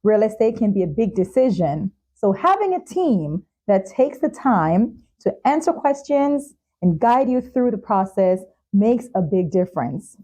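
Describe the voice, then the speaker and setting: A woman hosting a talk show, presenting in a measured, carefully enunciated way. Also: medium pitch, mellow. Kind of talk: scripted show introduction and sponsor reads, interviewing.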